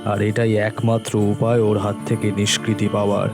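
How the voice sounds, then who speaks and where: Man acting a low, flat-toned probing friend. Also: normal speed, over music, natural voice. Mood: calm suspicion. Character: Hossain's calm, probing, suspicious male friend. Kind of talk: audio drama acting.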